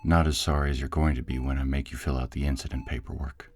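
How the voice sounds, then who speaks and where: A man acts out an authoritative director in a modern fantasy audio drama. His voice is extremely low and rough-edged. He speaks in a measured, businesslike way with dry concern for his people. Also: little pitch movement. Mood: dry, caring. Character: steady, authoritative male director planning a raid, dryly caring. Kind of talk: audio roleplay drama acting.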